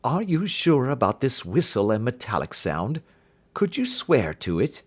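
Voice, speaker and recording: A probing gentleman detective from a classic mystery storybook , voiced by a man reading aloud. He is brisk and inquisitive, questioning with clear authority. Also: mid-range voice, huge pitch swings, full character voice.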